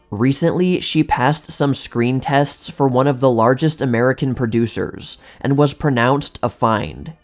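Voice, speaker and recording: A man reading vintage magazine articles aloud as a scripted narration, in a measured, precise manner. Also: mid-range voice, a little mellow.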